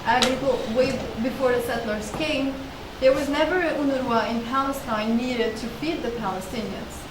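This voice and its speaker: A woman in her thirties gives an academic talk from a panel table in a seminar room. Her voice is fairly high and comes from some way off the table mic. The room echoes and there is steady background noise. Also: moderate pace. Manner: earnest, explanatory, with frequent restarts. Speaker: lecturer. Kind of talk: academic talk answering audience questions. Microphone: table mic on a stand. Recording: echoey room, distant and noisy.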